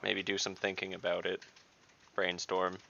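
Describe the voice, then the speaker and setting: A man's voice: sits low, monotone, moderate pace, gravelly, pushed and bright, a little hiss or hum. A man chatting casually as a player in an online tabletop game. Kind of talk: casual chat. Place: online voice call. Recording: dull lo-fi online call, keyboard typing.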